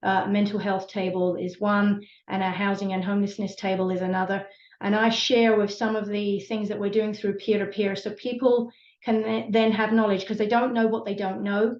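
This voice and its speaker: A woman's voice: mid-range voice, level tone, moderate pace, a little mellow. Passionate British woman on a lo-fi call. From Britain. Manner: earnest, passionate, many fillers. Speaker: community support team member. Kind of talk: online panel conversation. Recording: echoey room, dull lo-fi sound.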